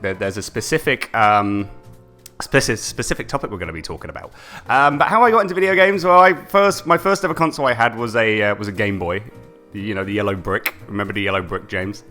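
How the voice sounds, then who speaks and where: British guy, young, bright low-ish voice, laughing stream co-host, faint music behind. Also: brisk. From United Kingdom. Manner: chatty, laughs often, repeats words. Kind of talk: casual live-stream chat.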